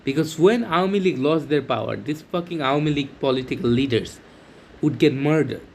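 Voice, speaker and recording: Young man from Bangladesh, higher voice, excited, stop-start vlog talk, slight background hiss. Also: gravelly. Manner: excited, halting, with many restarts and fillers. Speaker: vlogger. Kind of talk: casual talk to camera.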